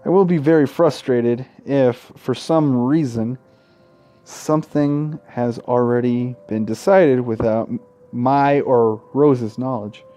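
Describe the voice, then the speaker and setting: A man's voice: medium pitch, slow pace, mellow, soft background music. A man hosting a gaming video, reading visual novel text aloud and adding casual, irreverent commentary. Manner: irreverent, sweary heckling between read passages.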